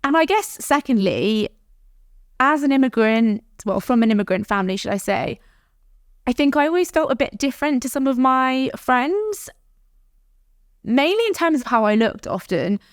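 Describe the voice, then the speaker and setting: A woman with a London accent talks in her thirties as a podcast guest, her voice smooth and clean with a dry, close sound. She tells stories in a chatty way and laughs easily. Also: high voice, lively intonation, normal speed, dry recording. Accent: London English. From South London. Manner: warm, laughs often, chatty storytelling. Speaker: guest, startup founder. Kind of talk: podcast interview.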